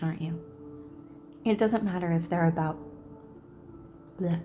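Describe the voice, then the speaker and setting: A woman doing casual game commentary and reading lines aloud, expressive, blunt and sassy. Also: fairly low pitch, slight background noise. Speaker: video creator reacting to a game.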